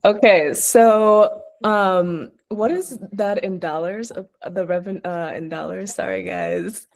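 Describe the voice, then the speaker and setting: A woman's voice: medium pitch, steady tone, takes it slowly, gravelly. A woman from Uganda, an investor giving spontaneous, conversational feedback with probing questions. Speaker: investor judging pitches.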